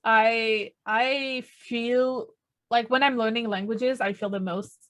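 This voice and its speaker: Young French-native woman, chatty and bright. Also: fairly high pitch, fairly even intonation, slow. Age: young adult. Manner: chatty storyteller with frequent restarts and laughter. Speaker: podcast co-host. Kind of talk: casual chat.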